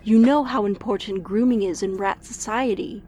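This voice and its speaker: A woman's voice: mid-range voice, moderate pace, mellow, natural voice. A woman playing an earnest lab assistant.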